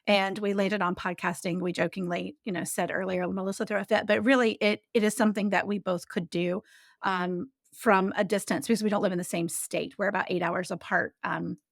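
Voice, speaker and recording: A woman's voice: mid-range voice, steady tone, fairly quick, vocal fry, no room sound, studio quality. A woman in her forties, a business coach guesting on a podcast, telling stories in a chatty way with frequent laughs. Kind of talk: casual interview chat.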